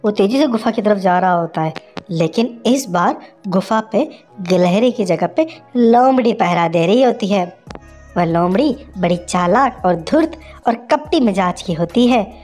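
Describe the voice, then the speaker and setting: A woman's voice: medium pitch, lively intonation, a little slow, darkish tone, faint music behind. A woman narrating a children's moral story in a simple, conversational way.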